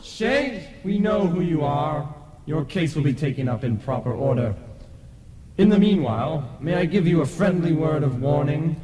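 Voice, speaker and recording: Man as a booming-echo pompous ghost official. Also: lively intonation, moderate pace, mellow, slight background noise, close to an everyday voice. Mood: officious, grave. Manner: commanding, formal, declamatory. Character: stern, pompous ghost official passing judgement. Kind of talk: old-time radio acting. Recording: very echoey reverberant radio play.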